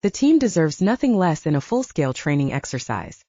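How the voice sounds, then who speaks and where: A woman's voice: deep voice, sing-song, a little fast, mellow, dry recording, highs cut off. A woman narrating an audiobook, reading scripted fiction in a dramatic storytelling style.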